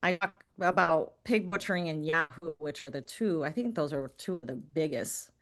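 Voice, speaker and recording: A woman's voice: low-pitched, moderate pace. A young woman who wrote a book, speaking casually as an interview guest, her talk full of likes and ums. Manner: chatty, many fillers like um and like. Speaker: author guest.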